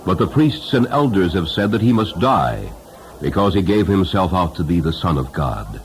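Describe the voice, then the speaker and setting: Man voicing a grave, deep-voiced pilgrim bearing news. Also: sing-song, normal speed, noisy background. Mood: grave, urgent. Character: grave crowd of Parthian pilgrims reporting news.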